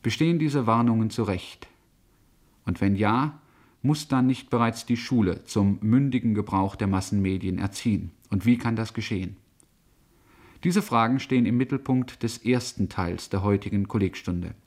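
Schoolmasterly man, lowish voice, 1970s radio lecture. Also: normal speed, a little mellow. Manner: didactic, schoolmasterly, condescending. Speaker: lecture presenter.

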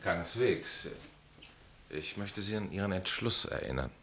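An actor playing an enigmatic, knowing stranger in a German radio drama, speaking in grave, measured, philosophical pronouncements with authority. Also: male voice, low-pitched, lively intonation, sharp tone, husky, full character voice. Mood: composed.

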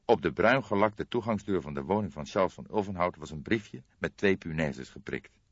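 A man's voice: deep voice, animated, moderate pace, husky. A man reading a crime novel as an audiobook narrator, in scripted storytelling style. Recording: dull, roomy audiobook recording.

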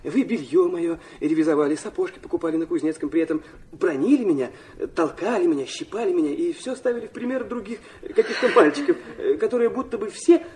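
An actor playing a proud, wounded and defiant young son in a theatrical audio drama, bitter and impulsive. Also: male voice, sits high, fast, few pauses, darkish tone, lightly acted. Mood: sarcastic, resentful. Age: young adult. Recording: faint noise and ambience behind.

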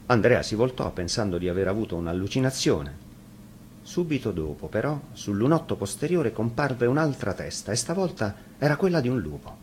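Low-voiced man reading a fairy tale. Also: a little mellow, a little hiss or hum. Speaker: storyteller.

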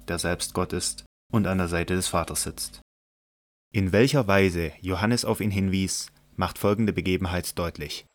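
Deep-voiced male scripture narrator. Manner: measured, solemn reading.

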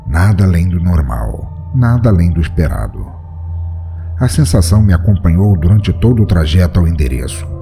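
A man reading a first-person horror story aloud in a dramatic, tense storytelling manner. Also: deep voice. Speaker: narrator.

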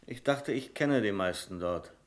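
An actor in a radio play playing a fussy, easily exasperated senior clerk who speaks in a formal, sceptical, pointed way. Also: male voice, fairly low pitch, lively intonation, a little slow.

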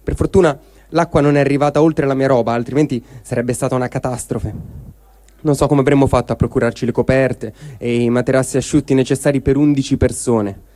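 A man's voice: mid-range voice, steady tone, a little fast, mellow. A man giving a dramatic reading of a first-person written diary. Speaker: reader of a translated testimony.

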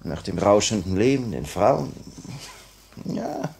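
A man's voice: fairly low pitch, huge pitch swings, takes it slowly, creaky, darkish tone, a little hiss or hum, full character voice. An actor in a 1950s German radio drama playing a brooding, restless male leader of a revolutionary cell, with an intense, theatrical, confiding delivery. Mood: wistful, confessing. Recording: old 1950 radio play recording.

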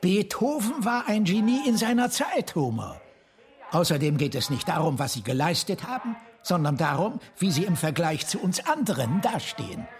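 An actor playing an opinionated, eccentric brother who philosophizes in an insistent, lecturing, articulate way in a German radio drama. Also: male voice, sits high, animated, normal speed.

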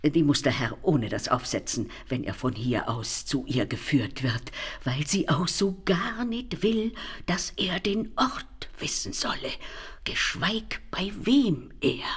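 An actress playing a sly old go-between in a radio play, coaxing and veiling threats in ceremonious, old-fashioned speech. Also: female voice, low voice, lively intonation, slow pace, exaggerated voice. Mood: instructing, secretive. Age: older. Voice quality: husky, breathy, dropping into whispers. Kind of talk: radio play acting in archaic German.

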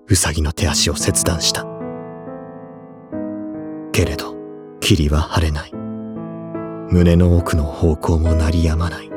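Deep-voiced, tormented schoolboy. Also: male voice. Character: serious, reserved, tormented teenage schoolboy. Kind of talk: anime-style audio drama acting, brooding monologue.

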